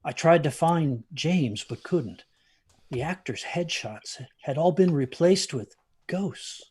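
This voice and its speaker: A haunted grandfather tells a dark tale in a fairly high, husky voice. He speaks haltingly and intensely, like someone on stage reliving his youth. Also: lively intonation, heavily acted. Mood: haunted, tense. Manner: halting, intense, repeats himself. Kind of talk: dramatic storytelling.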